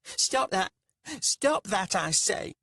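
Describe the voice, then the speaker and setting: A man's voice: fairly high pitch, sing-song, a little slow, no room sound, studio quality, pitched above the performer's own voice, exaggerated voice. A man voicing a plump, pompous merchant, cowardly and whiny, with a wavery, nasal, almost feminine voice. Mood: outraged.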